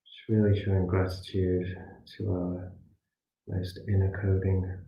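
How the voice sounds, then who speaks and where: A man leading a guided meditation as a class teacher, speaking soothingly in short phrases with pauses between them. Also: sits low, completely flat delivery. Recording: muffled echoey room, distant from mic.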